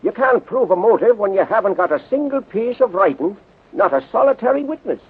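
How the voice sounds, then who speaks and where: An actor playing a plain-spoken, excitable police sergeant with a raspy voice in a 1930s radio drama. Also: male voice, high-pitched, a little fast, full character voice. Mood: blunt, animated. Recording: tinny narrow-band 1930s radio recording.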